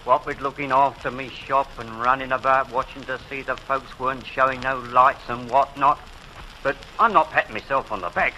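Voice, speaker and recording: An actor playing a humble, plucky village grocer with an English working-class accent, folksy and earnest in 1940s radio style. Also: male voice, medium pitch, fairly even intonation, normal speed, sharp tone, a little hiss or hum, low-quality recording.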